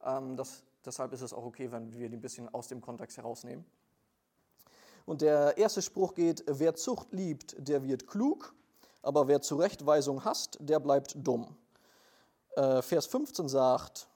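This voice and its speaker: Hesitant young preacher with a mellow voice. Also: male voice, mid-range voice, unhurried. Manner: hesitant, many fillers, plain earnest delivery. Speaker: lay preacher and missionary. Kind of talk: informal church sermon with prayer.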